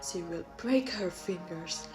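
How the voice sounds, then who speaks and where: A woman's voice: lowish voice, takes it slowly, over music. Woman putting on a cruel, cackling evil fairy. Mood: gloating, menacing.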